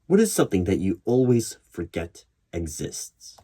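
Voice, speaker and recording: Male interviewer for ASMR roleplay: low pitch, measured questioning, typing and some background noise. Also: huge pitch swings, mellow. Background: computer keyboard typing and clicks.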